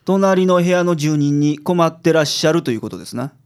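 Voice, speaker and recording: A man playing an unflappable private detective boss with a Kansai accent, formal and deadpan, in a comic radio drama. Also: a little high, a little fast, clear, resonant tone, lightly acted. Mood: businesslike, dry. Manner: formal with clients, dry deadpan asides.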